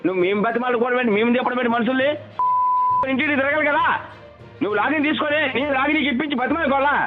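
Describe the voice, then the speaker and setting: Phone-line male, high and very fast, aggressive local boss type, loud music behind. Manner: aggressive, hectoring, repetitive. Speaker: local political leader. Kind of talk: heated phone argument. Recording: tinny leaked phone call.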